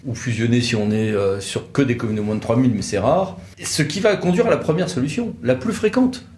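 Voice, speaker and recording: The room rings noticeably around this man's voice, with a slight noise underneath. He has an average-pitched voice and explains legal matters conversationally as an expert. His delivery is didactic and leans on repetition to make a point. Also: lively intonation, fairly quick, darkish tone. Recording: echoey room with light noise.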